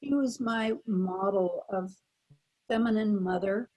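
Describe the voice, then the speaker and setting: A woman's voice: mid-range voice, slow pace, warm tone, husky, rough recording. An older woman, a panel guest, casually reminiscing about her past. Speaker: panel guest, former child radio performer.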